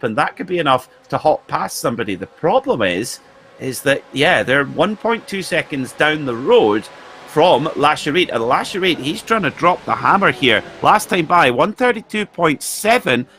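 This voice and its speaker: A man's voice: on the high side, background noise. A man, a sim racing co-commentator, talking through the race analytically and chattily, with many fillers. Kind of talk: live race commentary and banter.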